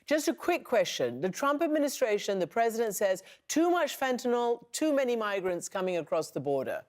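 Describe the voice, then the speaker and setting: A woman's voice: a little high, big pitch swings, brisk, darkish tone. A woman news interviewer asking direct, probing questions.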